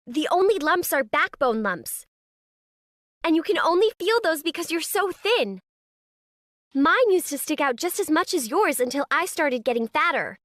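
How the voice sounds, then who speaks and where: A man voicing a bossy, quick-tempered young girl who shouts commands in a storybook reading. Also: very high voice, very animated, dry recording, clean studio sound, pitched above the performer's own voice, exaggerated voice. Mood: firm.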